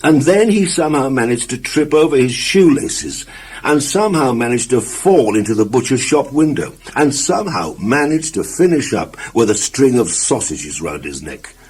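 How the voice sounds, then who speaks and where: A man's voice: medium pitch, big pitch swings, normal speed, a little mellow, a little hiss or hum. A man narrating a scripted children's story expressively, with emphatic phrasing and asides to the listener.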